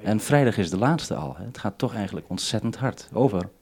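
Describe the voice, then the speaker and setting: A man's voice: lowish voice, expressive, fairly quick. A man hosting an unscripted two-way radio conversation, speaking hesitantly and often interrupting himself. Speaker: radio programme maker and host.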